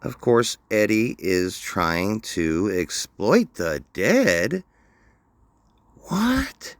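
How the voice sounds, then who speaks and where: A man's voice: fairly low pitch, sing-song, very slow, dry recording. A man hosting a commentary show, mocking the clips he plays with dry sarcasm. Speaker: commentary host.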